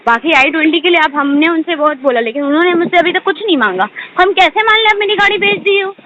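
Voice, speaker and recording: A woman's voice: very high voice, lively intonation, quick pace, fairly bright tone. A woman complaining at length as a customer on a phone call, in an insistent, aggrieved manner. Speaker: customer complaining to a car salesman. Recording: noisy tinny phone line with clicks.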